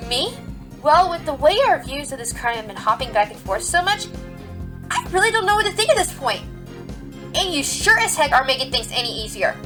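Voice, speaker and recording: Woman acting a young rabbit lawyer, earnest, emphatic, self-doubting, high voice, a bit distant from the mic, audio drama. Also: big pitch swings, brisk, roomy sound, over music. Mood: uneasy, wary. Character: young female rabbit defense lawyer, earnest, flustered, determined.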